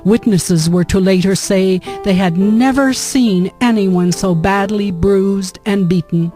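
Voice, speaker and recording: A woman's voice: medium pitch, slow pace, warm tone. A woman giving scripted storytelling narration for a historical radio drama. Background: music.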